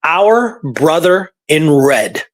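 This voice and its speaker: A man with a somewhat high voice chats through historical research. He is informal and conversational, telling it like a story to a friend as a guest researcher. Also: sing-song, drawn-out words. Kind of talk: casual conversational history discussion.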